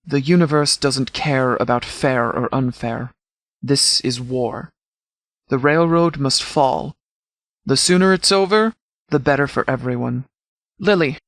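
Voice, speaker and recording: A man voicing a stern military commander in a video game, speaking formally and with measured authority as he briefs. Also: mid-range voice, a bit bright, no echo. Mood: grim, resolute. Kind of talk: scripted game dialogue, mission briefing.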